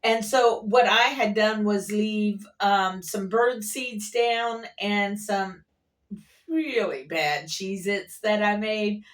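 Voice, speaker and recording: A woman with a mid-range voice chats casually in an unhurried, meandering way, like a vlogger sharing everyday stories. Her delivery is folksy and playful. The voice sits a bit away from the microphone. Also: medium pitch, recorded from a distance. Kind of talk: casual rambling storytelling to camera. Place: at an airport workplace.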